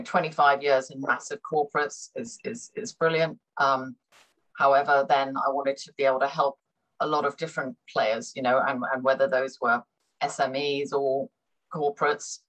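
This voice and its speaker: A woman's voice: very deep voice, fairly bright tone, low-quality recording. A middle-aged woman who grew up in Hong Kong, a business consultant talking thoughtfully as an interview guest, with many fillers and repeated words. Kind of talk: conversational interview.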